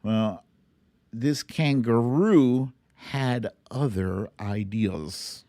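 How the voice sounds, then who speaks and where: A man's voice: medium pitch, very slow, mellow, dry recording, studio quality. A man hosting a casual livestream, chatting and reacting to clips in a playful, theatrical way. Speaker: host of a live online show.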